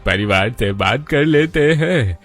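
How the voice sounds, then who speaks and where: A man voicing a mild, reasoning middle-aged father in a family cartoon, with a placating, measured manner. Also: medium pitch, expressive, a bit bright, faint music behind. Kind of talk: Hindi family cartoon dubbing.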